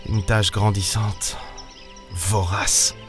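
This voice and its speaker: A low-pitched, haunted male space captain , as an actor plays him, tells his own story over faint music. He broods and pauses between short phrases, lonely and worn, in a dramatic science-fiction audio serial. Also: level tone. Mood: grieving. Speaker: narrator and lead character of an audio serial. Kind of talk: dramatic first-person audio fiction narration.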